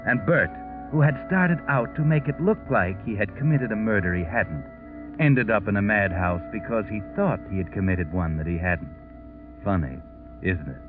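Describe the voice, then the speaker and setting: Sinister knowing narrator, American, low voice, swooping theatrical intonation, sardonic, vintage radio mystery. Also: male voice. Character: sardonic, ominous male storyteller of crime tales. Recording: tinny 1940s radio recording with music.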